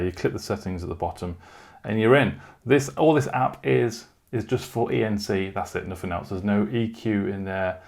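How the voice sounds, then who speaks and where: A man's voice: lowish voice, creaky, darkish tone. A man talking casually through a product unboxing and review in an informal way, with short asides.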